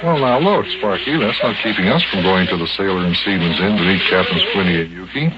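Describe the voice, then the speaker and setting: Deep muffled American radio storyteller man. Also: brisk, heavy background noise, lightly acted. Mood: patient coaxing. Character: Big Jon, patient fatherly grown-up storyteller and companion. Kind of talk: 1950s children's radio narration and acting. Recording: muffled old radio recording, music behind.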